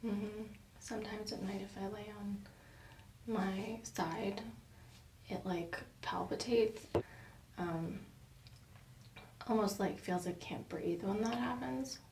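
A young woman gives a hesitant, casual account as a patient. Her voice is full of fry, recorded in a roomy space with clear background noise. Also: medium pitch, fairly even intonation, slow pace, pauses often, darkish tone. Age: young adult. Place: small carpeted treatment room. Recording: roomy sound with background hiss.

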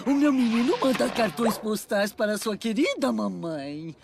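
A woman with a Brazilian accent voicing a sweet, doting middle-aged toad mother in a cartoon dub, with a creaky voice. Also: a little high, animated, normal speed, noisy background. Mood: sentimental. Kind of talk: Brazilian Portuguese cartoon dubbing. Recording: music behind the voice.

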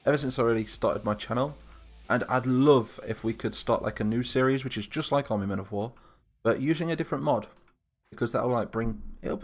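A man with a Lincolnshire English accent, casually chatting and reading out viewer comments during a live stream while building a game map. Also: medium pitch. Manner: chatty, rambling, reads chat comments aloud.

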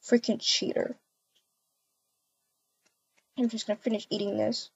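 A woman's voice: medium pitch, little pitch movement, unhurried, gravelly, breathy edge, no room sound, lo-fi sound, heavily acted. A woman voicing a betrayed, indignant and bossy wife in an amateur game roleplay. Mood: bitter, curt. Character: furious betrayed wife demanding divorce. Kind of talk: amateur voiced Roblox roleplay.

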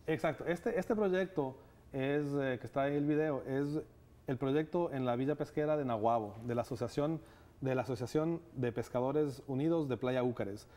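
Ecuadorian man, 30s, interview guest, mid-pitched, warm, lots of filler sounds. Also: normal speed. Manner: earnest, many "eh" fillers. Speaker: guest, nonprofit director. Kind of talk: studio interview. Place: TV studio set. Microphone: lapel mic.

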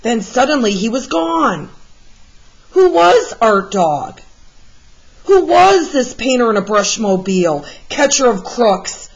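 A woman reading a picture book aloud to children in an expressive, deliberate storytelling style, like a teacher. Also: on the high side, breathy edge. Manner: deliberate, with animated emphasis for young listeners. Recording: slightly muffled, lo-fi sound.

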